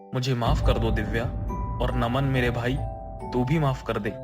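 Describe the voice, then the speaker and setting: A man acts a remorseful husband with a medium-pitched voice. He sounds contrite and earnest in a melodramatic moral-story style, with loud music playing behind him. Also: steady tone, measured pace, lightly acted. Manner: contrite, emotional. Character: remorseful husband begging forgiveness, humbled and earnest. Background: prominent music.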